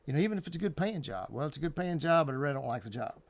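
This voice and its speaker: A man talking casually and earnestly to viewers as an advice host, in a halting, repetitive, colloquial way. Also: a little high, animated, no echo.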